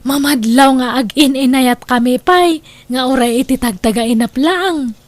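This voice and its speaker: A woman's voice: high voice, normal speed, no room sound, lightly acted. A woman with an Ilocano accent playing a reluctant, stubborn young girlfriend in a comedy drama. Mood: wistful. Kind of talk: comedy radio-style drama acting.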